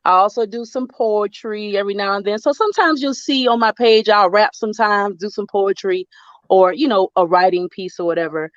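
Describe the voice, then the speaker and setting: A woman's voice: medium pitch, fairly quick, dry recording, dull audio. A middle-aged woman from Florida, a writer guest in a casual online interview, talking in loose rambling runs with fillers and restarts. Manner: chatty, many restarts and fillers, laughs often. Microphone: video call.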